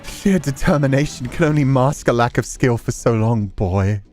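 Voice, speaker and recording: A man's voice: medium pitch, moderate pace, a little mellow, faint music behind, natural voice. A man voicing an arrogant, villainous nobleman swordsman in a visual novel, taunting with theatrical contempt. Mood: mocking.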